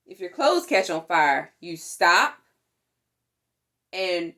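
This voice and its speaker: A woman reading a children's picture book aloud in an expressive, deliberate way, with playful exclamations. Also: lowish voice. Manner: deliberate, animated, with playful sound words and exclamations. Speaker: storytime reader for a fire department.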